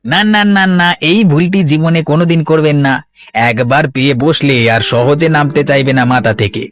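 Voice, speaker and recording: A man's voice: on the high side, normal speed, natural voice. A man voicing a greedy, fawning estate steward in a cartoon, persuasive and insinuating. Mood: alarmed. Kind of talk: Bangla cartoon voice acting.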